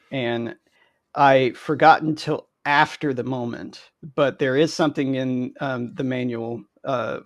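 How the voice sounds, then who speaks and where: A man's voice: medium pitch, dry recording, studio quality. A man chatting casually and telling anecdotes as a guest game creator on a video call. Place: indoor room on a video call.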